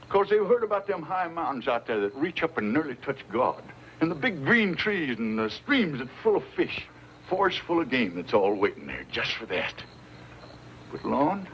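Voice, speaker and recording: An actor playing a folksy, garrulous old frontiersman with an American frontier drawl in an old radio western. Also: on the high side. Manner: rambling, homespun, breaks into humming and song. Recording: dull, noisy old radio recording.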